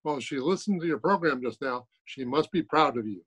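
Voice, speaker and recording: A man's voice: mid-range voice, lively intonation, brisk, fairly bright tone, breathy edge. An older man playing a blunt, matter-of-fact male colleague in an old-time radio comedy. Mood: brisk, flattering. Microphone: phone on video call.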